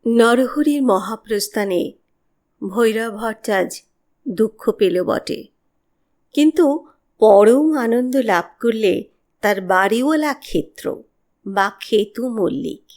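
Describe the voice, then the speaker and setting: High-pitched woman narrating slowly. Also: lively intonation, darkish tone. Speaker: audiobook narrator. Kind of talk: dramatic scripted horror story narration.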